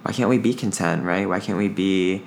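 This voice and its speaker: A man's voice: low-pitched, normal speed, creaky, a little hiss or hum. A man in his twenties talking in an earnest, reflective way on a casual podcast, often repeating his phrases. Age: 20s.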